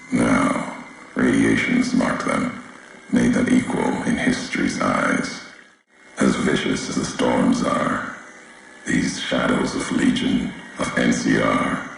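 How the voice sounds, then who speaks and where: An actor playing a grim, brooding wasteland courier in a video game, raspy and solemn, speaking in clipped, cryptic phrases. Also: male voice, exaggerated voice. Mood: grave, foreboding. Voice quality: very deep, gravelly, raspy. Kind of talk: scripted video game dialogue.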